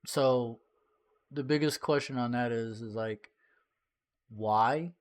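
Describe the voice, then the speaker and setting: A man's voice: medium pitch, slow. A man giving casual, blunt and rambling commentary as a reaction streamer.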